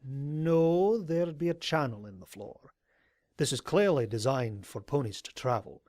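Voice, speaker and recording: A man plays an ageing male pony scholar from an audio drama. He talks slowly and deliberately, dry and a little hesitant, like a learned explorer who will not be hurried. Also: mid-range voice, animated, a little mellow, no echo, lightly acted. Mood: dry, explaining. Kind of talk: audio drama character acting.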